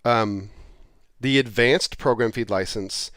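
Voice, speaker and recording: Guy explaining a product, chatty and kinda rambly, mid-pitched voice, really dry close sound. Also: takes it slowly, a bit bright, dry recording. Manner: conversational, explanatory, rambling with asides. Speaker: presenter explaining software licenses. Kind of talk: informal product walkthrough.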